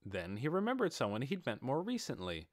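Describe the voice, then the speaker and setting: Expressive male audiobook narrator, dry recording. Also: mid-range voice, brisk, no echo. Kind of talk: dramatic scripted fantasy narration.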